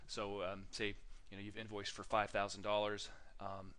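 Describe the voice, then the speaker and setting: Male software demonstrator, lowish voice, informal tutorial delivery, halting with frequent filler sounds. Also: monotone. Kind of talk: casual software tutorial narration.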